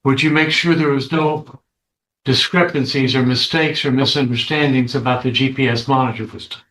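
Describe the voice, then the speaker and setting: A man's voice: medium pitch, steady tone, normal speed, fairly bright tone. Echoey male defense attorney questioning a witness. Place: indoor courtroom. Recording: echoey courtroom.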